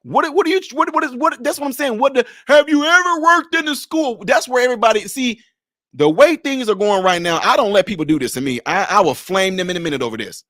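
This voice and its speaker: A man with a high voice gives live-stream commentary on a clip he keeps pausing. He talks with emphatic, rhetorical energy. The sound is dry and close, with little room tone. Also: no room sound. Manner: animated, emphatic, rhetorical questions. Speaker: commentator reacting to a video clip.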